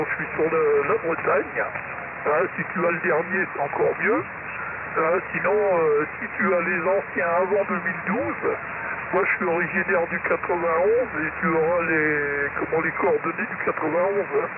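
Tinny radio reception buried in loud noise carries a man's high voice. He is making a casual amateur radio contact, heard as a received transmission. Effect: shortwave radio transmission. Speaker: radio operators on the receiving end.

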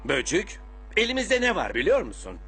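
A man voicing an excitable, greedy, scheming little bug in a children's cartoon, with cocky, dramatic delivery. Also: sits high, normal speed, creaky, full character voice. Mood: scheming, gleeful. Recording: music behind the voice.

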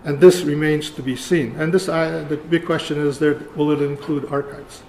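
An older man, around seventy, giving a conference lecture in a halting, deliberate way. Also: a little high, fairly even intonation, darkish tone. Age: older, about 70. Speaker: keynote speaker, archivist. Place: lecture room, indoors. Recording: roomy echo, faint room noise.

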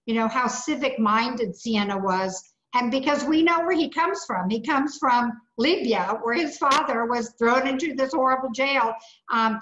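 A woman with a fairly high voice speaks haltingly on a video call, pausing often with "um" and "uh". Her voice echoes in her room, and the lo-fi call audio sounds dull. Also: a bit bright. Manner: halting, many "um" and "uh" fillers. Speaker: audience member commenting. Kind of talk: casual comment on a video call.

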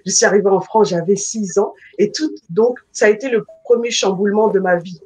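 A middle-aged woman from the Congo introduces herself as a life coach over a video call. Her voice is slightly husky. She speaks fluently and earnestly, with a devout tone, through the webcam mic. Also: mid-range voice, a little fast, darkish tone, poor audio. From Republic of the Congo. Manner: earnest, faith-filled, fluent testimony. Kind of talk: guest self-introduction. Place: home room, video call.

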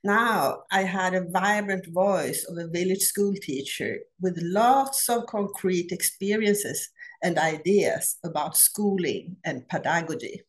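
Slow, measured Swedish woman lecturing. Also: on the low side. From Sweden. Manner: measured, deliberate, with frequent "uh" pauses. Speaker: academic researcher presenting a paper. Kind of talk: prepared conference talk. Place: home office. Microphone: laptop webcam on a video call.

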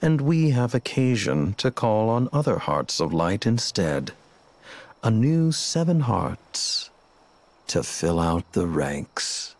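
A smug cloaked game villain, drawling slowly in a low voice. His delivery is theatrical and condescending, swinging through wide intonation over music. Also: sing-song, darkish tone, heavily acted. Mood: smug, calm. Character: smug, coolly menacing male villain in a dark cloak. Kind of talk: scripted video game cutscene acting.

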